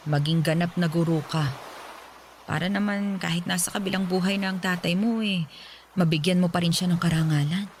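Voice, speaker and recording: A woman's voice: lowish voice, a little mellow, slight background noise, close to an everyday voice. Stern nagging widowed mother, voiced by a woman. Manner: scolding, lecturing. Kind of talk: radio drama acting.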